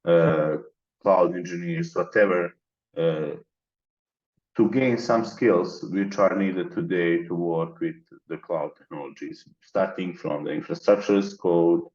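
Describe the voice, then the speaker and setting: Deep-voiced man from the Balkans. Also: steady tone, unhurried, a little mellow, highs cut off. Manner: methodical, explains in long lists. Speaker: interview guest, mentorship organizer. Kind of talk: conversational interview.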